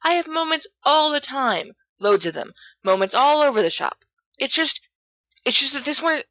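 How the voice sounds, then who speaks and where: A woman's voice: sits high, big pitch swings, normal speed, no echo, voice pushed up, heavily acted. Girl doing a hyped up teen wizard boy, breathless and full of himself, super dry close sound. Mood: defensive. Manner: hushed, breathless exclamations. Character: excited boastful teenage schoolboy wizard.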